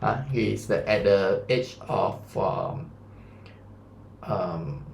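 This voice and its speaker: A man's voice: fairly low pitch, slow, creaky, a little mellow, roomy sound, slight background noise. A man in his thirties with a Malaysian English accent, a science teacher chatting through a revision lesson and quizzing his students. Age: 30s. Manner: chatty, asks students questions, fillers like lah and mah.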